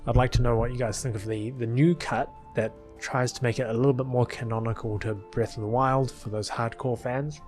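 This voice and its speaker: A man's voice: mid-range voice, steady tone, a little fast, hardly any pauses, darkish tone. Male video creator, mid-range voice, casual commentary with a slightly numb mouth, over background music. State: mouth partly numb after dental work. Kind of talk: casual rambling commentary over a film edit.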